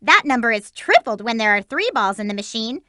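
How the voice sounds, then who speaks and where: An eager young hedgehog girl, very bright tone, dry close recording, game voice clip. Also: a little high, very animated, brisk, dry recording. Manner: upbeat, instructive team leader. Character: peppy young female hedgehog heroine, bossy and eager. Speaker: video game character. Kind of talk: game voice clips.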